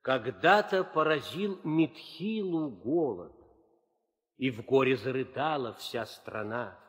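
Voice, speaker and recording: An actor playing a grave, mournful storyteller, declaiming epic verse for an audio play. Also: male voice, mid-range voice, expressive, slow pace, fairly bright tone. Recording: reverberant 1960 audio play record.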